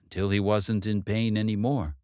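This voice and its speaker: A man's voice: fairly low pitch, level tone, normal speed, mellow, smooth, no room sound, studio quality, close to an everyday voice. A man voicing an older mother in a story, blunt and proud, with emphatic rhetorical questions. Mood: grave. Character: older mother, wise, proud, sharp-tongued, bitter about in-laws.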